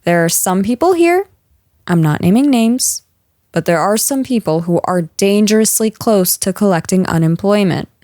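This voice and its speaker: A woman playing a stern, frustrated office boss in a scripted audio roleplay, speaking to an employee in a firm, measured, exasperated way. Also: medium pitch, lively intonation, darkish tone, no echo, studio quality, natural voice. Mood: stern, brisk. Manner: firm, exasperated, measured managerial delivery with sighs.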